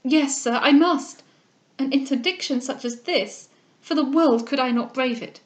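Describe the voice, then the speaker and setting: A distressed young gentlewoman, acted by a woman narrator, in a highish voice. She sounds faint but resolute, refusing with impatient emphasis as in a period storybook. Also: measured pace. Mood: grave resolve. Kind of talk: dramatic audiobook character reading.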